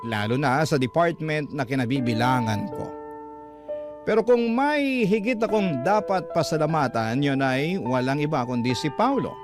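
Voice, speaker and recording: A man narrating a heartfelt letter in an earnest, storytelling voice pitched a little high. Clear guitar music sits under the reading. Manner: earnest storytelling, addresses the host. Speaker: letter reader.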